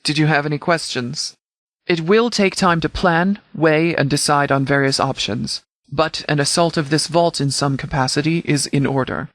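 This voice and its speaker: Game role: stern male military commander, formal and measured, slightly high voice, dry sound. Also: fairly bright tone, no echo. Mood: businesslike. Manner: formal, measured, authoritative diction. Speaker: video game character voice. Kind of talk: scripted game dialogue, mission briefing.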